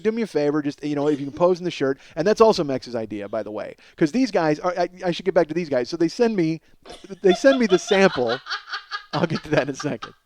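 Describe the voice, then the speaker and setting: Higher-voiced man, profane comic rambler. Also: a little mellow, slight background noise. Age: 40s. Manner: profane, self-deprecating, emphatic. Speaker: comedy podcast host. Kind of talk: rambling comic monologue, casual storytelling.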